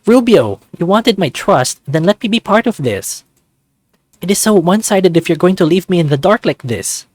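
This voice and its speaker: A man's voice: high-pitched, animated, normal speed, a little mellow, no room sound, clean studio sound, voice pushed up. A man voicing an indignant, hot-tempered furry beast hero in a visual novel. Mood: angry, hurt. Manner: emphatic, indignant, swearing.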